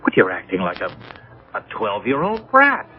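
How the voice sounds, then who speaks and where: An actor playing an earnest, career-minded young husband, coaxing and exasperated, in a radio drama. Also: male voice, on the low side, huge pitch swings, normal speed. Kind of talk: 1980 radio drama acting. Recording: dull old radio broadcast, faint music.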